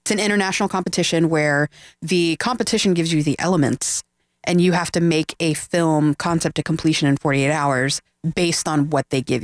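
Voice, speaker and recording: Interview guest, chatty, friendly, mid pitch, dry mic. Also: creaky, dry recording. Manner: chatty, friendly, laughs easily. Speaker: guest filmmaker. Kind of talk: casual interview chat.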